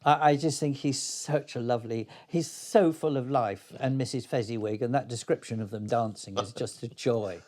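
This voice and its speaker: A man's voice: medium pitch, normal speed, hardly any pauses, a little mellow. An older man speaking reflectively as an interview guest, hesitant and thoughtful but enthusiastic. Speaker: interview guest, playwright and actor.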